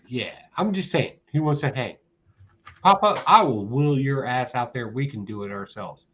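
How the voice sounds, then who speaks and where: A man's voice: medium pitch, lively intonation, moderate pace, a bit bright. A man in his forties hosting a casual chat, hyped and egging others on. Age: 40s. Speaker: host. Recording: dull lo-fi sound with faint noise.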